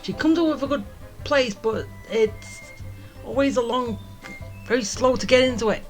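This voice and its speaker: A woman's voice: on the high side, moderate pace. A woman giving casual commentary as she plays through a video game. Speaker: gaming streamer. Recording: game music behind the voice.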